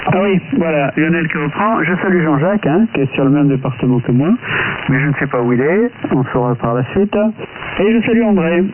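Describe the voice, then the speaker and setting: A man's voice: on the high side, expressive, fairly quick. Male radio amateur, casual delivery, tinny narrowband transmission with plain static noise. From southern France. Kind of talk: casual radio contact chat.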